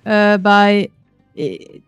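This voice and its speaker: A woman's voice: medium pitch, very slow, clean tone, no echo, soft background music. A woman playing a tabletop role-playing game, asking question after question and often restarting her sentences. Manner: questioning, hesitant, many restarts. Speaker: player. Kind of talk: casual play at a tabletop game.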